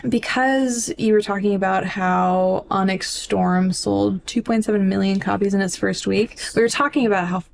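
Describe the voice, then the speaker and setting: A woman's voice: medium pitch, fairly even intonation, normal speed, vocal fry, few pauses. Casual sweary woman podcaster, noisy recording. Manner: informal, sweary, peppered with "like". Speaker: podcast co-host. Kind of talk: casual chat about books.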